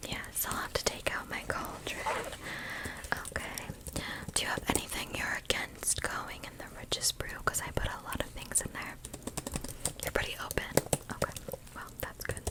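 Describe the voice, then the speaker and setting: A woman playing a kindly, whimsical witch shopkeeper in a breathy, gentle ASMR roleplay whisper, often repeating phrases. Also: moderate pace, natural voice. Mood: welcoming, soothing.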